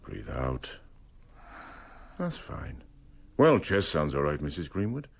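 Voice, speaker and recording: Radio drama: firm, fatherly male country doctor, deep voice, brisk advice, expressive intonation. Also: vocal fry, heavily acted. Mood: brisk, reassuring. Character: brisk, stern, paternal male village doctor.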